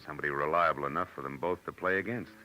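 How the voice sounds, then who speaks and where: An actor playing a tough, world-weary detective in 1940s radio noir style, clipped and dramatic. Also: male voice, rumbling low, rapid-fire, pushed and bright, a little hiss or hum, low-quality recording. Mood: explaining, matter-of-fact. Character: tough, hard-boiled male detective with a world-weary streak. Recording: old radio recording with music.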